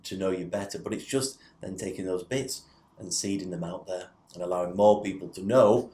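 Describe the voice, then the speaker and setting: A man's voice: low voice, brisk, warm tone. A man speaking as a podcast guest, explaining things conversationally and posing rhetorical questions. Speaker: podcast guest, digital influence facilitator. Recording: roomy, echoing indoor sound.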